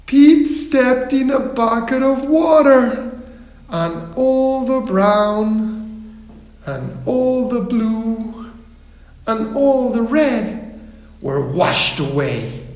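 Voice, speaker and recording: Very slow, theatrical woman storyteller. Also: fairly high pitch, animated, darkish tone. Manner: theatrical, drawn-out, emphatic delivery. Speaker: storyteller reading a children's picture book. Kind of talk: expressive scripted children's story narration. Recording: echoey hall reverb, music underneath. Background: music.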